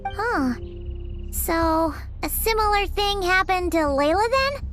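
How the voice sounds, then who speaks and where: A woman's voice: very high voice, animated, exaggerated voice. A woman voicing a tiny, chirpy floating girl companion who reacts bluntly in game cutscene dialogue. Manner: bright, blunt, quick reactions. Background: game music.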